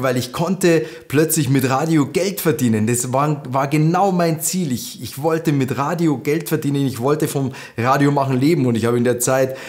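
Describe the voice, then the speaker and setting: Man, 30s, Lower Bavarian accent, lively to-camera storyteller, mid-range voice, recorded in a living room. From Lower Bavaria, Germany. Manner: chatty, animated, gestures with hands. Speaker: host.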